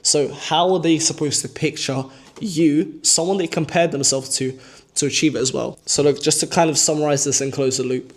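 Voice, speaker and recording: A man's voice: fairly high pitch, fairly even intonation. A man in his early twenties giving a blunt, urgent motivational rant, swearing freely as he talks straight to camera. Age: early 20s. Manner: blunt, fast-talking, heavy swearing, urgent. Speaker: vlogger. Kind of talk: motivational talking-head rant. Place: outdoors or changing spots while filming.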